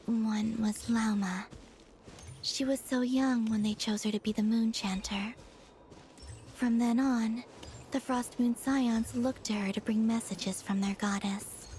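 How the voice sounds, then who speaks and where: A woman voicing a serene, wistful young moon goddess in a video game, speaking softly in a measured, formal way. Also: a little high, fairly even intonation, slight background noise, close to an everyday voice. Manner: soft, measured, formal, gentle speech. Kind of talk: scripted game voice acting.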